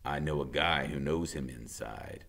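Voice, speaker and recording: A man playing a menacing, scornful tough guy, gruff and curt, in a storybook reading. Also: a bass voice, measured pace, a bit bright, voice pushed down, heavily acted. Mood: cool menace.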